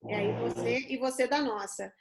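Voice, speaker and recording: A woman's voice: fairly high pitch, wildly swooping pitch, moderate pace, background noise. A woman from Brazil hosting a casual video-call chat, asking her guests questions as she reminisces. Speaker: host. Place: home in London.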